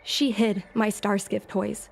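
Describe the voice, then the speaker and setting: An actress playing an earnest, headstrong young woman in a video game, with an expressive, youthful delivery. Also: medium pitch, takes it slowly, gravelly, soft background music. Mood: aggrieved. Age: young adult.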